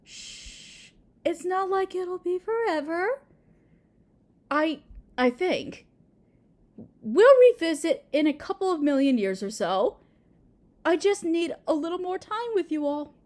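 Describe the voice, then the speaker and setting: A woman's voice: extremely high pitch, very animated, slow, long pauses, a little mellow, exaggerated voice. A woman voicing a doting, possessive and insecure Mother Earth in an intimate roleplay audio drama, tender, hesitant and sweetly overbearing. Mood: soothing, possessive.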